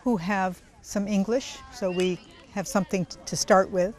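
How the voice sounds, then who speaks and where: A middle-aged woman giving a measured, hesitant interview outdoors as a programme organiser. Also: mid-range voice, fairly even intonation, darkish tone.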